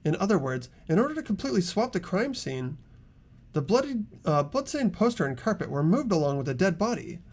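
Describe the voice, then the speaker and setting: A man's voice: high voice, big pitch swings, brisk, darkish tone, exaggerated voice. A man playing an earnest teenage boy who reasons aloud tentatively in an amateur game dub. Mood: earnest, thoughtful.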